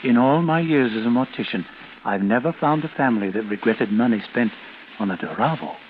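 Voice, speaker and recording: An actor playing a solicitous, solemnly courteous mortician with a smooth sales patter in a 1940s radio drama. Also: male voice, medium pitch, expressive, a little fast, close to an everyday voice. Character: unctuous, solicitous mortician selling caskets. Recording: muffled, hissy old radio recording.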